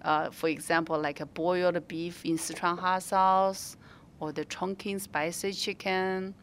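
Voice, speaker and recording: A woman's voice: fairly low pitch, level tone, measured pace, slight background noise. A woman with a Mandarin Chinese accent, speaking English as a second language while answering interview questions as a restaurant owner, with many fillers and restarts.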